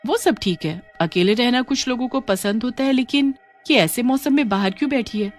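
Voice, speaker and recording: A woman's voice: fairly high pitch, moderate pace, faint music behind, pitched above the performer's own voice, lightly acted. A woman voicing a curious, puzzled college girl. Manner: wondering, questioning. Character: curious, puzzled eighteen-year-old female newcomer student. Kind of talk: Hindi story dialogue.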